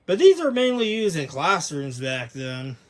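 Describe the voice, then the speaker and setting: A man's voice: on the high side, sing-song, a little slow. A man giving a casual, unscripted overview as a hobbyist video host, rambling and correcting himself as he goes.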